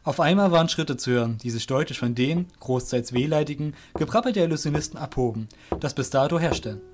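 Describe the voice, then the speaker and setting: A man narrating a fantasy audio drama from a script in a deliberate, descriptive manner. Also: fairly high pitch, animated, darkish tone, slight background noise.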